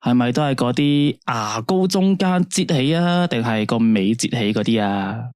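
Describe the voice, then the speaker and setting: A man's voice: mid-range voice, animated, normal speed, darkish tone, clear, resonant tone, no echo, clean studio sound, natural voice. A man playing a chatty, easygoing late-night radio host in a radio drama, speaking Hong Kong Cantonese in a playful, conversational way. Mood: friendly, curious.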